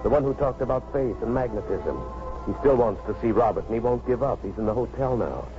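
A man's voice: medium pitch, lively intonation, a little fast, close to an everyday voice. Dramatic American male narrator an actor, confiding storyteller for vintage radio suspense, muffled sound under music. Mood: suspenseful. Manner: dramatic, present-tense, confiding. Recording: dull vintage radio recording.

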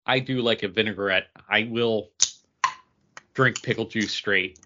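A man's voice: lowish voice, fairly even intonation, measured pace, sharp tone. A man co-hosting a podcast, chatting casually in short, choppy phrases. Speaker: podcast co-host. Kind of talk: casual chat. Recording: dull lo-fi audio.

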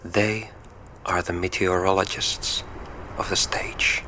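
Slow, low-voiced male narrator. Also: level tone, sharp tone, slight background noise. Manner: slow, measured, with whispered phrases. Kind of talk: scripted poetic narration.

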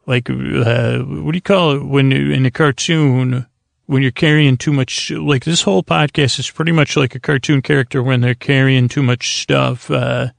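A man hosting a bedtime podcast in a soothing, lulling tone, meandering and halting as he repeats himself and trails off mid-sentence. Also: mid-range voice, fairly even intonation, gravelly, dry recording. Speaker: podcast host. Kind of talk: rambling bedtime monologue to help listeners sleep.

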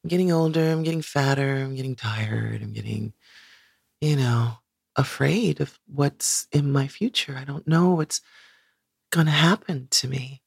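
Woman in her 60s, low gravelly husky voice, rambly reflective talk, dry close sound. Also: a little slow, no room sound. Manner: searching, rambling, many "you know"s. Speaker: guest, singer. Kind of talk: reflective interview conversation.

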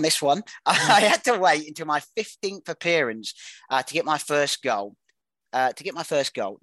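A man hosting a football quiz, reading career clues in a brisk, matter-of-fact way with dry asides. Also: fairly high pitch, fairly bright tone, no room sound. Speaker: quiz host.

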